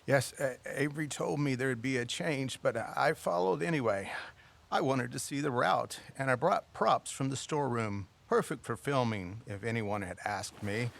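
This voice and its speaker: A man's voice: mid-range voice, normal speed, vocal fry, fairly bright tone. An actor playing a stubborn, theatrical middle-aged father with a formal, old-fashioned way of talking in an audio drama. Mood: put-upon, insistent.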